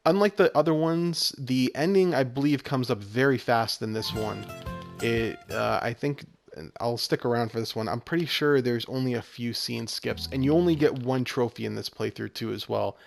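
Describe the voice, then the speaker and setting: Male walkthrough host: explanatory, instructional delivery with noticeable vocal fry over light game music. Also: medium pitch, moderate pace. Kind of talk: walkthrough commentary.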